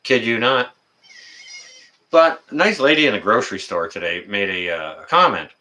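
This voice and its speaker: Male, 50s, deep but forward and bright voice; folksy, rambling, unscripted livestream chatter with a halting flow. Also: lively intonation. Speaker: livestream host. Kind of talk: casual livestream chat.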